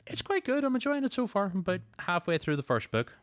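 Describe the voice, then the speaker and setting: A man's voice: high voice, very animated, fast talker, no room sound, studio quality. A man hosting a podcast, moving between show announcements and casual chat. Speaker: podcast host.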